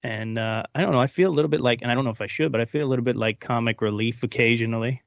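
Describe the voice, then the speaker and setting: A man's voice: mid-range voice, very fast, vocal fry, no echo, dull audio. A man chatting casually as a voice actor being interviewed.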